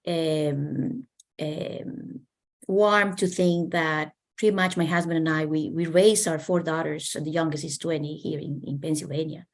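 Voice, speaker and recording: A woman's voice: low-pitched, steady tone, measured pace. A middle-aged woman from Costa Rica, a native Spanish speaker with a Costa Rican accent, sharing personal stories as a panelist. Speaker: panelist, economics professor.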